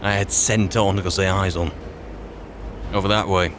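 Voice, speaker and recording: A man's voice: deep voice, fairly even intonation, moderate pace. A man doing in-character roleplay commentary for a video game as a wary adventuring wizard, musing to himself in short phrases. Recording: clear background noise, game ambience.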